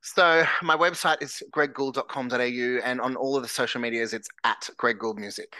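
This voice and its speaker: An Australian man speaks as an interview guest in a frank, earnest, easygoing manner. His voice is bright and pushed forward. The sound is close and dry, with no room around it. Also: medium pitch, fairly even intonation, a little fast, dry recording. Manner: earnest, candid, self-described larrikin. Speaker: singer-songwriter guest. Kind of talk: casual interview chat.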